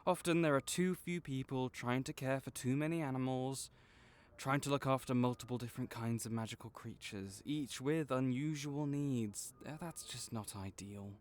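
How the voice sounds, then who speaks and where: Man playing a gentle, reassuring magical-creature vet. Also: medium pitch, moderate pace, close to an everyday voice. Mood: earnest, sympathetic. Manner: gentle, encouraging, patient explaining. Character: kind, reassuring male veterinarian for magical creatures. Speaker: voice actor in audio roleplay. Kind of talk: comforting audio roleplay, one-sided conversation.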